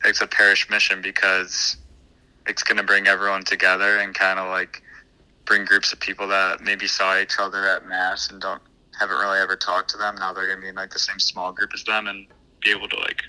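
Young man on the phone, sharp bright sound. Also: sits low, monotone, fairly quick. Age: young adult. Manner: laid-back slangy. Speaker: college student phone guest. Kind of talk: casual phone chat. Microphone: phone call. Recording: phone call on speakerphone.

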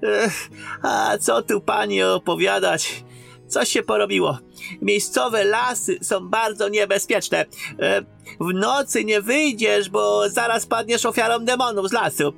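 A man acting an obsequious, gossiping innkeeper in a fantasy audio drama, with a fawning, halting manner. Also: high-pitched, steady tone, vocal fry, a bit bright, soft background music, heavily acted. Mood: anxious, conspiratorial. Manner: fawning, halting, drawn-out delivery.

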